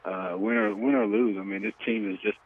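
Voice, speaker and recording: A man in his twenties, a football player, giving a casual interview by telephone in halting, hesitant phrases. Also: lowish voice, steady tone, a little hiss or hum. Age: 20s. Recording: tinny, band-limited phone line.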